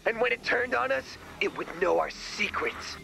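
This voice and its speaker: An actor playing an excitable, gullible, earnest young man in an animated video game, melodramatic in delivery. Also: very high-pitched, normal speed, gravelly, slight background noise, full character voice, low-quality recording. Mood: anguished.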